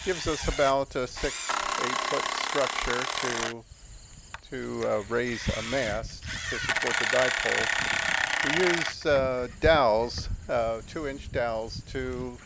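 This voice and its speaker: A very noisy recording carries the voice of an older American man. His voice creaks with a gravelly fry at an ordinary male pitch. He narrates casually, like a hobbyist explaining his own outing. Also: slow.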